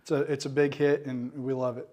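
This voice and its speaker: A man's voice: medium pitch, little pitch movement, a little fast, warm tone. A man who owns a family bakery, answering interview questions politely and modestly. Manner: polite, says "yes, sir", modest. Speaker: bakery owner, guest.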